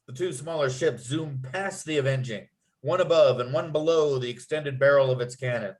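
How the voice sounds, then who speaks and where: A man's voice: mid-range voice, normal speed, darkish tone, rough recording. A man reading out screenplay scene headings and action as the narrator of a script table read. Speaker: narrator and writer.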